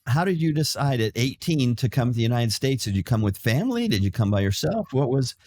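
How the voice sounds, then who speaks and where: A man's voice: medium pitch, very animated, a little fast, darkish tone. A man hosting a podcast, talking enthusiastically and a little rambling as he welcomes and questions his guest. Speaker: podcast host. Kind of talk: podcast interview.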